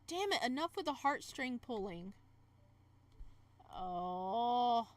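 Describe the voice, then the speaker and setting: A woman doing casual, animated commentary while reading and playing through a visual novel, exclaiming and repeating her phrases. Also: a little high, takes it slowly. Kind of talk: casual reaction commentary mixed with read-aloud narration.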